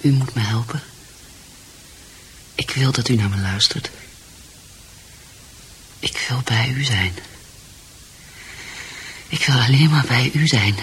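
A woman's voice: extremely low pitch, slow, pauses often, slight background noise. An actress in a radio drama playing a distraught, lovesick married mother, pleading and often near whispering.

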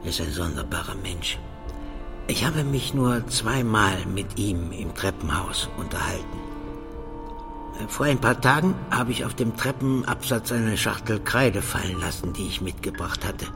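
Low-voiced, meticulous modest man. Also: expressive, unhurried, over music. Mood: earnest. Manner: careful, meticulous explaining. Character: earnest, modest, pedantic male clerkish neighbour. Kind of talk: radio drama acting.

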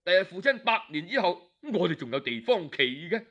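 A man's voice: high-pitched, sing-song, a little fast, a bit bright, muffled recording, full character voice. A man voicing an arrogant young noble son who complains with spite and indignation, in an audiobook tale. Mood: indignant, spiteful.

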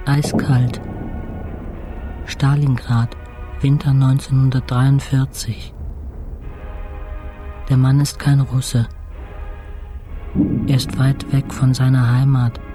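An actor playing a middle-aged intensive-care patient, narrating his ordeal soberly in terse, deliberate phrases for a radio drama. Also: medium pitch, mellow, lightly acted. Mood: grave, detached.

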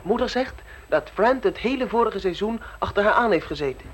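An actress playing a naive, eager young gossip in a radio play. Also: female voice, mid-range voice, expressive, fairly quick, breathy edge. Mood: eager, gossipy.

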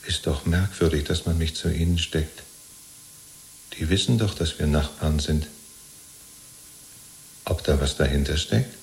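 An actor in a 1970s German radio play, playing a chatty, servile jailed party loyalist who rambles and justifies himself. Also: male voice, extremely low pitch, unhurried, pauses often, darkish tone, slight background noise, poor audio. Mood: nervous, probing. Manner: rambling, ingratiating, chummy, seeking reassurance.

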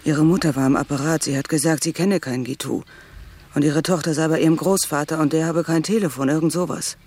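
Husky worried mother,, earnest and pleading, radio drama. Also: sits low, fairly even intonation, fast talker, darkish tone. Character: worried, beautiful middle-aged woman, mother of three. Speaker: narrator's cousin.

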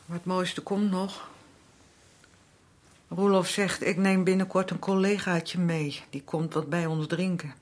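An actress playing a weary, aggrieved working-class wife in a radio drama, middle-aged, with a colloquial, complaining way of talking. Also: female voice, fairly low pitch, fairly even intonation, measured pace. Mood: bitter, aggrieved. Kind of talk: naturalistic radio drama acting.

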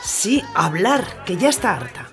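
A woman's voice: medium pitch, very animated, measured pace, music in the background. A woman acting an angry, foul-mouthed monologue in a comic audio play, blunt and indignant. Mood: fed up, indignant.

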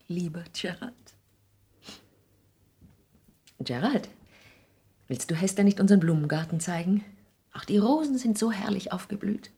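Deep, husky, proud wounded mother. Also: mellow, heavily acted. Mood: tender, anxious. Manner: dignified, emphatic, theatrical. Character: proud, wounded mother, dignified society outcast. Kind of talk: 1960s German radio play acting.

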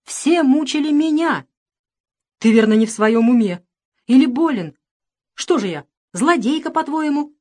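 A woman's voice: mid-range voice, expressive, moderate pace, close to an everyday voice. Imperious aging Russian mistress of the house, wounded and indignant, commanding outbursts, for audiobook drama, played by a woman. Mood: wounded outrage.

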